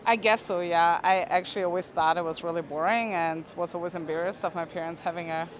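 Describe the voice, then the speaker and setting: Woman in her twenties, relaxed and hedging, interviewed on a trade show floor. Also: on the low side, brisk, crowd noise, low-quality recording. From Austria. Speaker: plant product manager. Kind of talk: casual interview.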